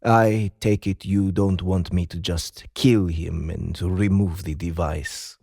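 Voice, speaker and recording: A man playing a cold, formal, menacing Russian president with a Russian accent, speaking deliberately in a dramatized audiobook. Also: sits low, fairly even intonation, vocal fry, darkish tone, dry recording, clean studio sound, full character voice. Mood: calm menace.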